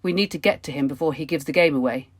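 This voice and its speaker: A woman's voice: low voice, quick pace, no room sound, clean studio sound. A woman acting a sly, chatty passenger with an innocent front in an amateur radio play. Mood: smug, conspiratorial. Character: sly female passenger, outwardly innocent secret schemer.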